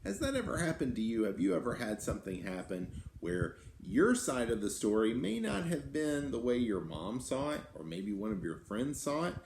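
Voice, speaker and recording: A man reads a picture book to children with lively, wide-ranging intonation. He pauses to explain and to put questions to his listeners. The room is echoey, with faint noise behind him. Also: medium pitch, vocal fry. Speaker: children's story-time reader and host. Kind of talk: read-aloud with simple asides to children. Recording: roomy echo, some background noise.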